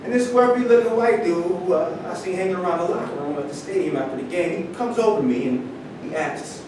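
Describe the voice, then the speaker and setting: A man's voice: brisk, close to an everyday voice. A man playing a proud, indignant former football star telling his story in a staged reading. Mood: storytelling, resentful. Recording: echoey hall, distant mic, rumbling noise.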